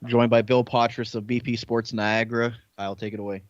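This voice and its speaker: A man's voice: medium pitch, little pitch movement, fairly quick, no echo. A man hosting casual sports talk, putting long, rambling questions to a guest. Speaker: sports show host. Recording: slightly dull, lo-fi audio.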